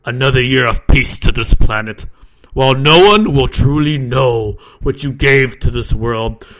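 A man's voice: mid-range voice, fairly bright tone, poor audio. A man voicing a towering robed cult leader who speaks coolly and commandingly, as part of a horror story he reads aloud. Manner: cool, measured, ominous.

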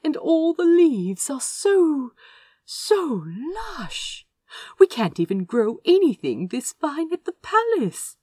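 A woman voicing a refined, gentle male prince in an audiobook story, with an effusive, genteel and exclamatory manner. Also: high voice, no echo, studio quality, pitched above the performer's own voice, heavily acted. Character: Azra, refined male prince, gentle, delighted, easily awed. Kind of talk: storybook character reading.

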